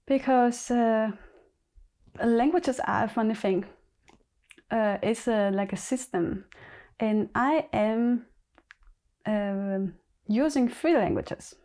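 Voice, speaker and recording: Slow, mellow-voiced Polish woman. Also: fairly high pitch. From Poland. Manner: thinking aloud, halting with many pauses. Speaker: knitting podcast host. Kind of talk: casual chat.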